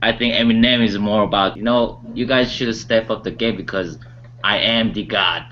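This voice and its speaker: Fairly low male co-host, muffled audio. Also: steady tone, normal speed. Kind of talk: casual panel chat. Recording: dull audio with background noise.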